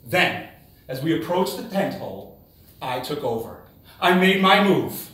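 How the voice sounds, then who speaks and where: A man giving a rehearsed, humorous storytelling speech in a theatrical manner, with dramatic pauses and exclamations. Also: on the high side, sharp tone. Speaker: contest speaker. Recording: very echoey room, distant microphone.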